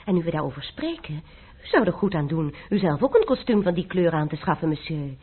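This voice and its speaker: Coy young marquise. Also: female voice, low voice, sing-song, fairly quick, husky, exaggerated voice. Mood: teasing. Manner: coquettish, teasing. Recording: tinny old radio broadcast.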